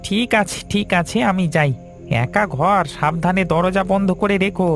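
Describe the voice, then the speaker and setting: A man playing a kindly, attentive village neighbour in a cartoon drama, gentle and solicitous. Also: high-pitched, fairly even intonation, normal speed, soft background music. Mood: gentle, concerned. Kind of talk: Bengali cartoon drama acting.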